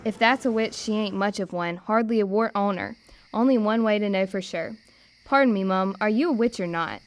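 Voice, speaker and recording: A woman's voice: medium pitch, fairly quick, close to an everyday voice. A young woman playing a determined, plain-spoken girl in an amateur radio play. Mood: plucky. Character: plucky, determined red-haired girl, older sister.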